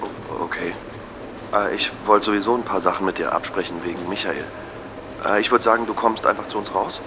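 A man's voice: lowish voice, fairly even intonation, brisk, noisy background, lightly acted. An actor playing a casual, reassuring, slightly hesitant man arranging a meeting in naturalistic audio drama dialogue.

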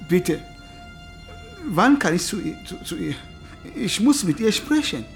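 A man's voice: sits high, sing-song, faint music behind, full character voice. Distraught father, voice swinging widely. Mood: bewildered, pleading. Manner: halting, stammering. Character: distraught, bewildered father under police questioning.

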